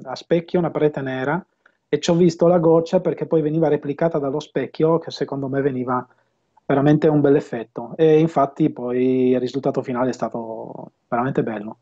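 A man in his fifties talking casually in an interview, often pausing mid-sentence as he explains things. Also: on the high side, warm tone. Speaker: audio system installer and dealer being interviewed. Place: home room with bookshelves, indoors. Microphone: earphone headset on a video call. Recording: dull, lo-fi video call audio.

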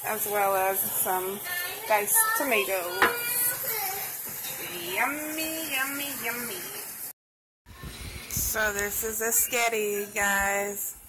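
A woman's voice: medium pitch, extremely slow, long pauses. A young woman in her twenties, vlogging casually, talking straight to the camera in a chatty, rambling way. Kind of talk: casual family vlog. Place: home bedroom and parked car at night. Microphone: phone camera at arm's length. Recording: noisy phone recording.

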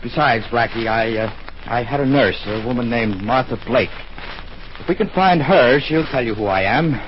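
A man's voice: medium pitch, moderate pace, natural voice. An actor playing an earnest, anxious young man with a hesitant manner in 1940s radio style. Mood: earnest, pleading. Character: anxious, earnest young heir seeking help. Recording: muffled, noisy 1940s radio recording.

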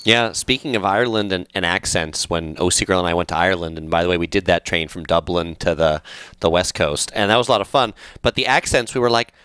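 A man's voice: sits low, quick pace, fairly bright tone, clean tone, dry recording. A man in his forties hosting a casual interview on a headset mic, upbeat and enthusiastic. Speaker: host. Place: home studio, indoors.

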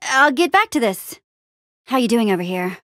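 High, expressive plucky game heroine. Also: female voice, moderate pace, no echo, clean studio sound. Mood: curious, musing. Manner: expressive, casual, peppy. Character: plucky, bossy, encouraging young woman adventurer hero. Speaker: video game voice actor. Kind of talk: animated adventure game dialogue.

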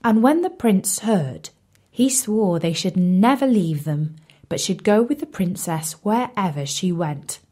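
Slow-paced woman reading a fairy tale. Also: mid-range voice. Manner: expressive, measured storytelling delivery. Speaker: storyteller, audiobook reader. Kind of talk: scripted storybook narration of a fairy tale.

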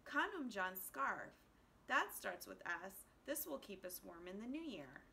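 A woman's voice: mid-range voice, sing-song, a little slow, vocal fry, bright, forceful tone, slight background noise, heavily acted. A woman voicing an eager, inquisitive little boy in a children's storybook. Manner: inquisitive, earnest.

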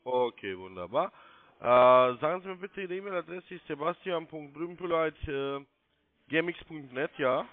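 A man's voice: fairly high pitch, lively intonation, unhurried, dry recording. A man in his thirties working as a call-centre agent, politely and formally giving step-by-step instructions. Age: 30s. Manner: formal, polite, spells things out. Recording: narrow phone-line sound.

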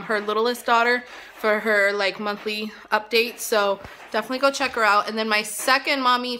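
A woman's voice: on the high side, bright, forceful tone. A woman in her thirties chatting casually to camera as a mom vlogger, rambling with lots of "um"s and "like"s, filmed on a phone held at arm's length in her tiled living room. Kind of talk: casual vlog chat to camera. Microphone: phone selfie camera at arm's length.

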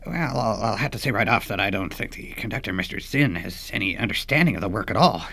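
Pompous, swooping, husky music critic. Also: male voice, fairly low pitch, lively intonation, quick pace, bright, forceful tone, full character voice. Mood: scornful. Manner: pompous, florid, hesitant. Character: pompous, effusive, long-winded male classical music critic. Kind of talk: satirical radio comedy panel.

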